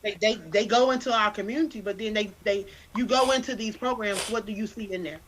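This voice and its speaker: A woman from South Carolina with a Southern accent talks animatedly as an interview guest. She often repeats words as she builds up a point, with a preacherly drive. Her voice sounds bright and forceful. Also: mid-range voice, a little fast, slight background noise, low-quality recording. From Anderson, South Carolina. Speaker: guest, literacy campaign founder. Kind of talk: casual interview talk.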